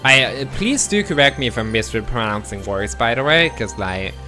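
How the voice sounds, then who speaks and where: A man's voice: mid-range voice, lively intonation, normal speed, bright, forceful tone, faint music behind. Man chatting on stream. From Denmark. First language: Danish. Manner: rambling, self-deprecating, stumbles and restarts often. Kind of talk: casual live-stream chat.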